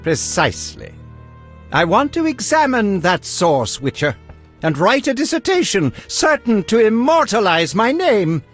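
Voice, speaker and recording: An actor playing an eccentric, self-important alchemist in a video game, obsessive and theatrical, with fussy delivery. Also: male voice, high-pitched, a bit bright.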